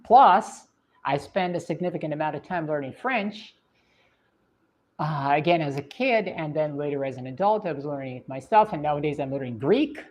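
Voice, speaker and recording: A woman with a low, deep voice speaks with a Russian accent softened by British English. She answers viewer questions in a casual livestream, thinking aloud with frequent pauses and restarts. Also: lively intonation. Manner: thoughtful, hesitant, many fillers and restarts.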